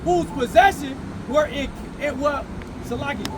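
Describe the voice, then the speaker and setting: Very high-pitched man reading scripture aloud outdoors. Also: unhurried. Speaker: scripture reader at street preaching. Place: outdoors on a city street. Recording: clear street and traffic noise.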